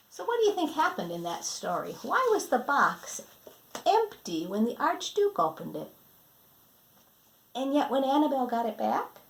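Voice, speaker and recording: A woman reads a storybook aloud for children in a fairly high voice. Her pitch swings widely and her delivery is dramatic, as a teacher would do when drawing young listeners in with questions. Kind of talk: animated picture-book read-aloud.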